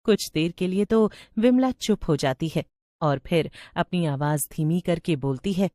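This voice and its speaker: A woman's voice: lowish voice, a little slow, mellow, no room sound, clean studio sound. A woman storyteller reading a scripted tale as narrator.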